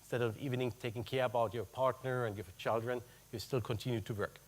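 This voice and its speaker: A man's voice: mid-range voice, steady tone, fast, rough recording. German-accented man presenting, wry and chatty. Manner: conversational, adds "huh?" tags to check in with the audience; non-native English phrasing, wry asides. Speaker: conference speaker, purchasing executive. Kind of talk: business presentation with slides. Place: conference room.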